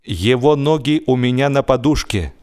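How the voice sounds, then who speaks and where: A man voicing a tipsy, earnest young man with a halting, deliberate manner in a comic audiobook. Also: medium pitch, lively intonation, darkish tone, clear, resonant tone, natural voice. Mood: puzzled.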